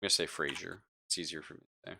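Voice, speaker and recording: A man narrating a horror tabletop game as game master, improvising in a hesitant, halting way. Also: sits low, fairly even intonation, vocal fry, bright, forceful tone, a little hiss or hum.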